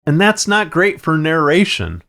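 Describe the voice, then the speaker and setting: A man narrating a tutorial in a measured, plain-spoken, instructional way, explaining his production process step by step. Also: on the high side, big pitch swings, sharp tone. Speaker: fiction podcast producer and narrator. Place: acoustically treated recording space.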